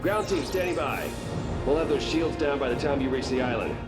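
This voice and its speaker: An actor playing a cocky, self-assured ground-team hero in a video game, with a boastful, swaggering manner. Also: male voice, mid-range voice, expressive, fairly quick, lightly acted. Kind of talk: video game trailer dialogue. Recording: echoey, distant, loud music behind.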